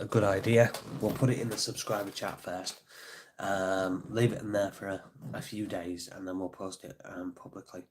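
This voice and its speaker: Congested man chatting on a live stream. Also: fairly low pitch. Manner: chatty, thanks viewers by name, many fillers. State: congested, sniffly. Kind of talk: casual live-stream chat.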